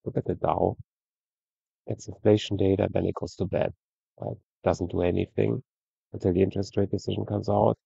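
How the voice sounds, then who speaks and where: A man giving a relaxed, conversational screen-share review of trading charts, often ending points with "right?". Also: sits low, moderate pace, gravelly, slightly rough, no room sound, highs cut off. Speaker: trading educator.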